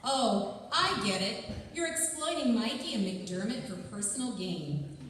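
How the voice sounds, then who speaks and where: A woman's voice: on the high side, animated, measured pace, a bit bright, slight background noise. A woman playing a sociable, sarcastic neighbour in a stage radio play. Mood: wry, teasing. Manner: wry, teasing. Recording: echoey hall, far from mic.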